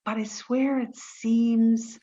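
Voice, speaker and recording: A woman acting in an audio play as a wistful, fragile woman in mourning, speaking haltingly and from the heart. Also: a little high, flat delivery, mellow, highs cut off. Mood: fragile, pleading.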